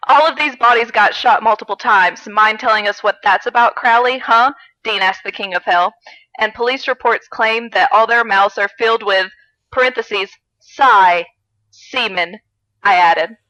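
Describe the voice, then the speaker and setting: A woman's voice: medium pitch, pushed and bright, no room sound, poor audio. A woman co-hosting a podcast, giggly and wry as she chats and reads aloud for comedy. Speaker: podcast co-host. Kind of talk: comedic read-aloud of fan fiction with casual chat.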